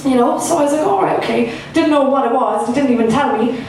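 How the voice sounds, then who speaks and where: A woman's voice: high voice, fast, a little mellow, slight background noise. A young Irish woman performing her first stand-up set, chatty and self-mocking, with lots of fillers. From Ireland. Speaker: stand-up comedian. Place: comedy club stage. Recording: echoey room, from far off.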